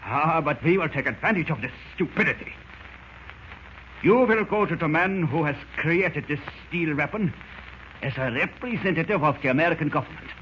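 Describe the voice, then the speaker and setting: An actor playing a cold, fanatical German spymaster in a 1940s radio drama, with a German accent and a formal, deliberate, menacing manner. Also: male voice, fairly high pitch, animated, fairly bright tone. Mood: scornful, commanding. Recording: scratchy, muffled old-time radio recording.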